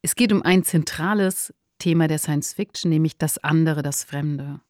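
Female podcast co-host, deep for a woman, explanatory, dry sound, faint music. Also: normal speed, a little mellow, no room sound.

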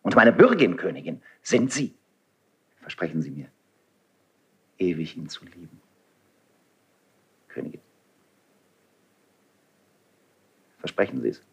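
Doomed idealistic nobleman, husky, low-pitched, declamatory, solemn, fervent, 1970s radio play verse. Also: sing-song, moderate pace, pauses often, muffled recording, full character voice. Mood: visionary, pleading. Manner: declamatory, solemn, emphatic delivery. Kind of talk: 1970s German radio drama, classical stage verse.

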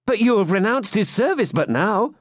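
A man voicing a loyal, wry medieval jester, raising his voice in a lively, animated way. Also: high-pitched, normal speed, dry recording, clean studio sound, voice pushed up.

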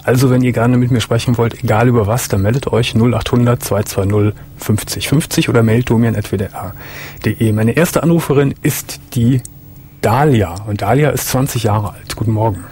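A man hosting a radio call-in show from a studio, calm and asking short follow-up questions. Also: mid-range voice, normal speed, husky. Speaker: late-night call-in radio host. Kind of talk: relaxed call-in radio talk. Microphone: studio microphone.

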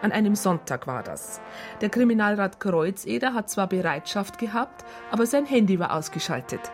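A woman's voice: mid-range voice, big pitch swings, moderate pace, faint music behind. An actress playing an indignant police psychologist who states her complaint in precise, formal words in a radio drama. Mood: reporting, accusing. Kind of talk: radio drama narration and dialogue.

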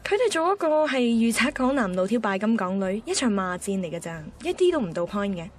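An actress playing a driven, blunt young radio assistant with a Hong Kong Cantonese accent, in a radio drama. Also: female voice, fairly high pitch, big pitch swings, a little fast. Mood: probing, teasing. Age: young adult. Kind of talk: radio drama narration and banter.

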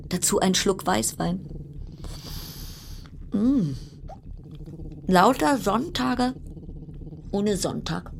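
An actress playing a brooding narrator in an absurd, dystopian radio play, with deliberate, incantatory phrasing over a noisy background. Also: female voice, medium pitch, lively intonation, vocal fry, exaggerated voice. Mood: melancholic, incantatory. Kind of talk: literary radio play monologue.

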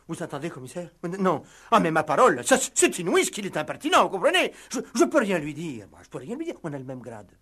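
A man's voice: on the high side, wildly swooping pitch, fast, few pauses, fairly bright tone, breathy edge, exaggerated voice. An actor playing a self-important, blustering village police sergeant in a comic vintage radio play, repeating himself and tripping over his words. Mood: indignant, boastful. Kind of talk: comic 1960s French radio play acting. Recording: vintage radio drama recording.

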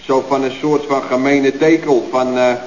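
An actor playing a glib young nephew at a firm, talking in an offhand, vague, wordy way in a radio comedy. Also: male voice, mid-range voice, little pitch movement, moderate pace, close to an everyday voice. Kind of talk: radio comedy serial acting. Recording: dull, echoey, noisy old radio recording.

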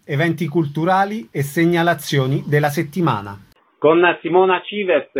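Higher-pitched male radio host. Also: husky. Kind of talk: scripted radio announcing and interviewing.

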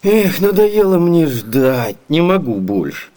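Radio play role: chatty, scheming, ever-hungry manservant; high male voice, very lively melody, theatrical delivery. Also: slow pace. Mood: grumbling, plaintive. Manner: sighing, expressive, eager. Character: hungry, wily, chatty comic servant man. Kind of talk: theatrical Soviet radio comedy acting.

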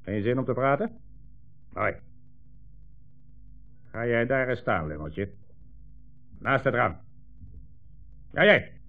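A man's voice: mid-range voice, sing-song, slow pace, pauses often, narrow, tinny audio. A thin, band-limited vintage radio recording with faint music carries a menacing communist commissar. He is imperious and sneering, a bullying interrogator full of cold contempt. The delivery is that of 1960s radio drama. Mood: threatening.

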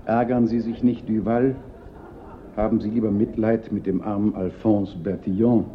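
An actor playing an urbane former police prefect in a German radio play, speaking with ironic consolation. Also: male voice, fairly low pitch, level tone, normal speed, natural voice. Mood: wry, consoling. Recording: thin phone-like band, noisy radio-play recording.

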